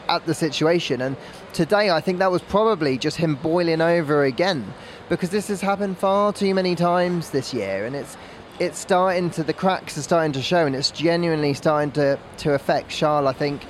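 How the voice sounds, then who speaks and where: Male racing pundit, slightly high register, conversational analysis, light traffic-like noise behind. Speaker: motor racing pundit and reporter. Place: outdoors in a race paddock. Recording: faint outdoor background noise.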